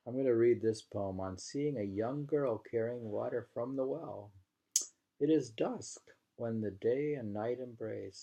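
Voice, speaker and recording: A man's voice: fairly low pitch, creaky, warm tone, husky, poor audio. A man reading poems aloud and chatting casually about them, with a reflective, savoring delivery. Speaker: poetry reader. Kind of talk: poetry reading with casual commentary.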